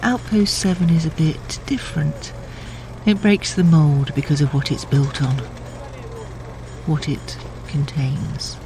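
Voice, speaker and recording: An actor in a sci-fi audio drama playing an ailing, reverent leader who speaks slowly and grandly. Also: mid-range voice, fairly even intonation, background noise. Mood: reverent pride.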